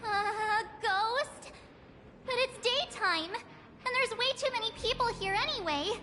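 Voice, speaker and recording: A woman's voice: very high-pitched, slow, sharp tone, slight background noise, exaggerated voice. An actress playing a bubbly, teasing young girl adventurer in a video game, talking in a playful, casual way. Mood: startled. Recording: reverberant, music behind the voice.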